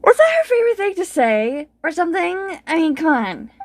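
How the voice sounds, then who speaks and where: A woman's voice: very high voice, big pitch swings, normal speed, a little hiss or hum. A woman streamer giving short, casual reactions as she plays a video game. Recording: game music faintly behind the voice. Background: game music.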